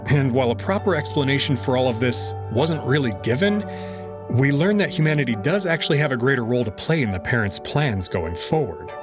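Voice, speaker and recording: Expressive male narrator over music. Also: mid-range voice, fairly quick. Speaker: narrator and commentator. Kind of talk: expressive reading of a visual novel with commentary. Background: music under the voice.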